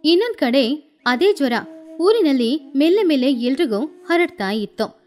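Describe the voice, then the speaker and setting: A woman narrating a scripted moral story with expressive, animated delivery. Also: high voice, unhurried, soft background music.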